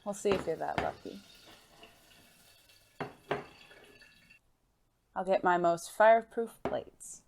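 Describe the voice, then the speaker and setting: An actor playing a suave, genteel gentleman secret agent in an audio drama, with formal, refined phrasing and a playful, flirtatious, teasing manner. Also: mid-range voice, moderate pace, gravelly, a little hiss or hum, lightly acted.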